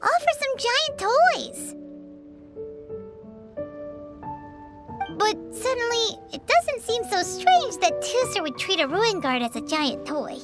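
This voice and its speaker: A woman voicing a tiny, bossy fairy companion in a video game who talks about herself in the third person, with a high squeaky voice. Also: very animated, a little slow, full character voice. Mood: amazed. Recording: game audio with music behind. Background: game music.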